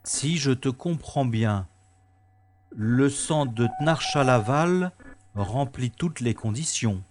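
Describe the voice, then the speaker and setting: A man's voice: medium pitch, lively intonation, slow pace, background noise. A man playing a sardonic, stubborn special agent in a science-fiction audio drama, with a wry, theatrical delivery. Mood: resolved.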